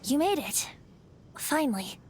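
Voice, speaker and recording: A woman's voice: high voice, very animated, a little slow, slight background noise. A woman voicing a playful youthful male bard in a video game, with a breezy, theatrical, lyrical manner. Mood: sheepish. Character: playful youthful male bard, secretly an ancient wind spirit. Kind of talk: video game character acting. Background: music.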